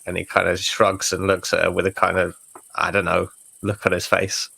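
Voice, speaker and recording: A man's voice: deep voice, monotone, moderate pace, vocal fry, bright, forceful tone. Casual man narrating his game character. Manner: loose, conversational, trailing phrases. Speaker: tabletop game player.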